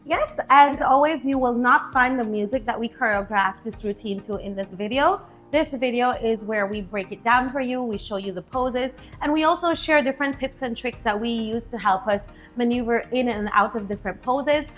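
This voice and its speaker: A woman's voice: on the high side, normal speed, hardly any pauses, a bit bright, soft background music. A young woman and native Spanish speaker with a slight Spanish accent, co-hosting a pole dance tutorial in a chatty, friendly way. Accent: Spanish-influenced English. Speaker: pole dance instructor, co-host. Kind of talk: casual tutorial chat.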